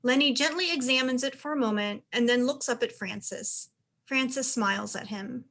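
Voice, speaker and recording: A woman's voice: on the high side, moderate pace, a bit bright. A woman reading stage directions as narrator, in scripted narration for a play.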